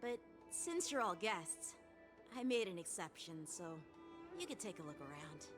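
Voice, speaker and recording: A woman's voice: on the low side, big pitch swings, moderate pace. A woman playing an earnest, polite, disciplined twelve-year-old boy sword master in a video game. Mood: earnest, confident. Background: game music.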